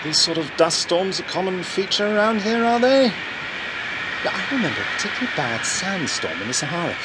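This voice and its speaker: A highish, lively man's voice, playing a courteous, gently wry eccentric who rambles along in old-fashioned phrases. It is a sci-fi audio drama, with loud windy ambience behind him. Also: moderate pace. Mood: chatty, weary. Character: polite, eccentric wandering man, chatty and gently wry.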